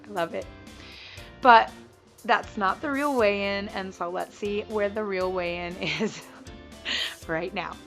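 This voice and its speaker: Chatty woman with a gravelly, froggy voice. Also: mid-range voice, slow, pauses often, over music. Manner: chatty, sappy and upbeat, laughs easily. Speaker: weight-loss vlogger. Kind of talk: casual chat to camera.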